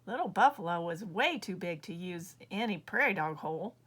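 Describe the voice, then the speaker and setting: A woman's voice: lowish voice, lively intonation, normal speed, fairly bright tone, husky. Woman reading a children's storybook aloud. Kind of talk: expressive children's storybook narration.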